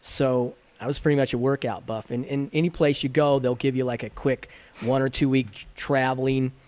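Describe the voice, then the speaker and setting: Animated storytelling man, fairly high voice. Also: moderate pace. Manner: animated, acts out quotes in a raised voice. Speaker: podcast guest. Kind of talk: casual storytelling.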